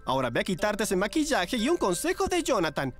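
Flamboyant, high-voiced young fashion agent. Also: male voice, fairly quick, faint music behind. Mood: advising, reassuring. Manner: theatrical, expressive. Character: flamboyant young male fashion agent, dramatic, caring mentor. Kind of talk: animated series dub in Spanish.

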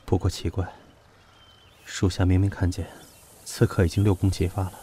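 A man's voice: low-pitched, level tone, moderate pace, mellow, a little hiss or hum, lightly acted. A man playing a cold, resentful bodyguard to an ancient prince in a period audio drama, speaking formally and tersely.

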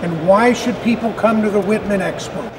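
A man's voice: sits high, normal speed. A man hosting and narrating a walk through a convention, talking in an upbeat, conversational way. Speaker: interviewer and host. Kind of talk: convention walkthrough narration and interview. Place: busy convention hall, indoors. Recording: crowded convention hall noise. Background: crowd chatter.

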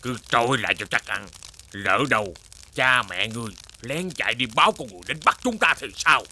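A man's voice: medium pitch, expressive, a little fast, sharp tone, full character voice. A man voicing a wary demon husband and father in a children's fairy-tale cartoon, speaking with theatrical emphasis. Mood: wary, jovial.

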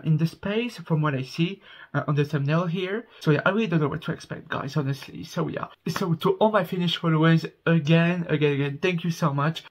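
A young man from France with a French accent, chatting earnestly to camera from his small bedroom about a song he is reacting to. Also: fairly high pitch. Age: young adult. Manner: chatty and earnest, gets loud and excited over the music. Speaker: music reaction host. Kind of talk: casual reaction chat to camera. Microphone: webcam at desk, wearing earbuds.

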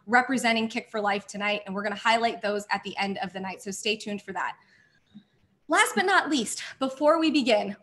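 A woman's voice: on the high side, fairly bright tone. A woman hosting a virtual charity gala, thanking sponsors effusively while losing her voice. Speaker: gala host. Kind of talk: scripted event hosting.

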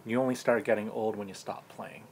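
A man in his forties with a somewhat low voice, talking fast and casually with friends. He keeps his replies brief and agrees often. Faint ambience is behind him. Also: fairly even intonation, a little hiss or hum. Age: 40s. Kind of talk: casual chat among friends.

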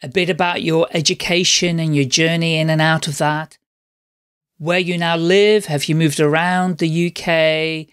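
A man's voice: on the high side, little pitch movement, unhurried, fairly bright tone, clean tone. A man hosting a podcast, encouraging his guest with open questions. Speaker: podcast host. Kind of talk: casual interview chat.

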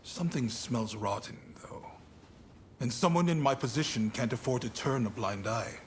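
An actor playing a shrewd, commanding shogunate official in a video game, authoritative, deliberate and a little wry. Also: male voice, medium pitch, expressive, a little hiss or hum, close to an everyday voice. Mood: grave, approving. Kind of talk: video game cutscene acting.

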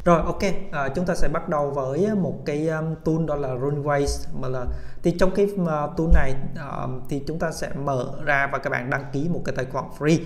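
A man hosting a casual software tutorial, speaking haltingly with many hesitation sounds and English tech terms mixed in. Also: a little high, steady tone, soft background music. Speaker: tutorial host.

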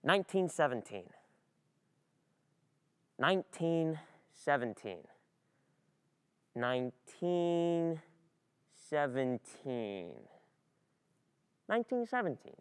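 A performer plays a distracted, frustrated student in a dramatic radio play monologue. The voice is clear and medium-pitched, agitated and repetitive, with anxious thoughts that halt and then spill out. Long hall reverb surrounds it. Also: big pitch swings, takes it slowly, long pauses. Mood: obsessively repeating.

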